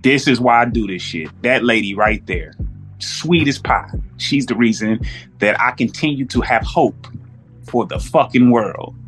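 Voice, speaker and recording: A man's voice: medium pitch, a little slow, a bit bright, soft background music. A man with an American accent talking casually as a podcast guest, with plenty of slang and swearing.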